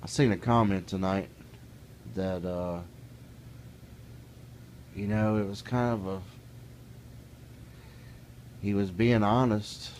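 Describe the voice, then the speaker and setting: A middle-aged man with a deep, low voice talks straight to the camera in a folksy, plainspoken way. He grows heated and exasperated as he goes. A faint hiss sits under the recording. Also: a little mellow. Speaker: vlogger. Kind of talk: casual ranting monologue.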